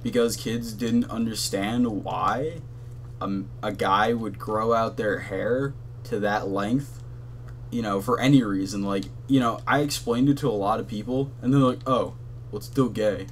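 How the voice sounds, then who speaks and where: A young man with a monotone voice, rambling casually with lots of fillers and swearing as the host of a gaming channel, talking from his bedroom. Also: fairly low pitch, unhurried, a little hiss or hum. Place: hot bedroom at his console.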